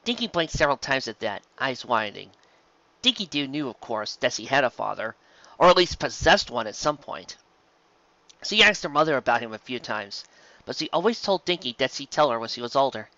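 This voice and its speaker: A man's voice: medium pitch, sing-song, a little fast, bright, forceful tone, dry recording, lo-fi sound. A man reading a scripted story aloud as narrator. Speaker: narrator, reader.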